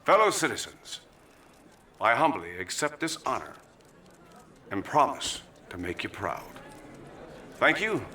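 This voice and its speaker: An actor playing a pompous American politician, giving a theatrical, patriotic podium speech with dramatic pauses. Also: male voice, mid-range voice, sing-song, sharp tone. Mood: triumphant.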